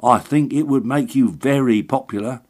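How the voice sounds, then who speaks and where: A man voicing a fussy, excitable little election agent who confides in everyone as his dear sir. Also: medium pitch, normal speed, voice pushed up, lightly acted. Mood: bustling.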